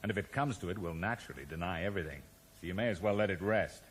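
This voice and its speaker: An actor playing a cool, calculating schemer, urbane, wry and evasive, in a 1980s radio drama. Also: male voice, low-pitched, racing, husky. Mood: unrepentant. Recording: echoey, noisy old radio broadcast recording.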